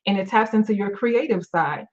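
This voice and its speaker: A woman's voice: mid-range voice, brisk, darkish tone, lo-fi sound. A middle-aged woman life coach on a live stream, tired and losing her voice, talking candidly with many fillers and restarts. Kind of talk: live-stream motivational talk.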